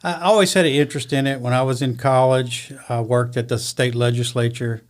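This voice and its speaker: A middle-aged man, a lawyer, answering interview questions in a relaxed, understated way with short, dry replies. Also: mid-range voice, fairly quick. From born in Italy, raised in the US. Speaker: guest, criminal defense lawyer.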